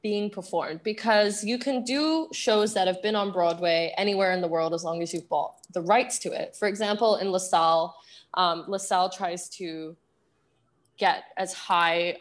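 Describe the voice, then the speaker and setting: Thoughtful young woman, lowish voice. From United Kingdom, raised in Singapore. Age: 20s. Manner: thoughtful, hesitant, many fillers. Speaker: guest, performer. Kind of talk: casual interview.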